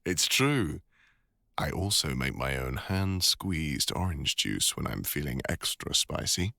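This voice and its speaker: A man with a deep British voice playing a devoted, teasing older boyfriend and father in an intimate ASMR audio roleplay. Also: very animated, takes it slowly, gravelly, bright, forceful tone, no echo, studio quality, exaggerated voice. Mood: playful, teasing.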